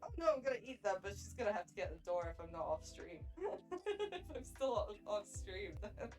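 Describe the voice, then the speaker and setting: A woman's voice: mid-range voice, lively intonation, brisk, darkish tone, soft background music. A woman from Scotland with a mild Edinburgh accent, chatting casually on a livestream, giggling often and stammering over her restarts. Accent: mild Edinburgh Scottish. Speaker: streamer.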